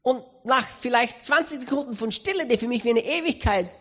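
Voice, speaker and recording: A man with an Austrian accent preaching a sermon, telling a story with humor and lively emphasis. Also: very high voice, brisk. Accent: Austrian German. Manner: animated, humorous anecdotal delivery.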